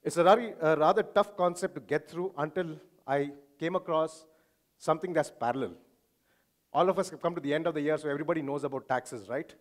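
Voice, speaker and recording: Male conference speaker, Indian accent, slightly high pitch, informal explainer addressing an audience directly. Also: a little fast.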